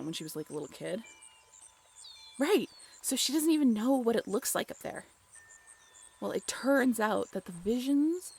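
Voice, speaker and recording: A woman playing an excitable young woman in an audio roleplay, telling a story casually with conversational asides. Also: mid-range voice, a little hiss or hum, close to an everyday voice. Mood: engrossed, chatty. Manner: informal, giggly, conversational asides with rhetorical questions.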